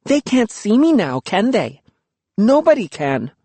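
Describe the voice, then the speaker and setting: A spirited young girl who teases and pushes her friend, her voice pitched high and swinging freely, as the audiobook narrator voices her. There is a husky grain under it, and the sound is close and dry. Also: very animated, a little slow, dark tone, no echo. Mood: teasing. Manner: playful, insistent. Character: spirited young village girl, teasing and bold.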